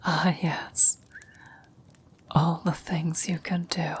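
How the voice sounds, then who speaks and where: Woman doing a frail old lady on her deathbed, asmr whisper, really slow n halting, low flat voice, wistful, philosophical. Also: slight background noise. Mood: gently weary. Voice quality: breathy whisper. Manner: slow, halting, reflective delivery with long pauses. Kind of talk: whispered ASMR roleplay monologue.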